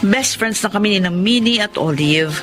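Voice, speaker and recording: A woman's voice: mid-range voice, moderate pace, over music, lightly acted. An actress with a Filipino accent playing a girlish, heartbroken woman of thirty in a radio drama. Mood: wistful narrating. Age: 30s. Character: Pinky, heartbroken thirty-year-old woman teasing her best friends. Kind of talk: Tagalog radio drama acting.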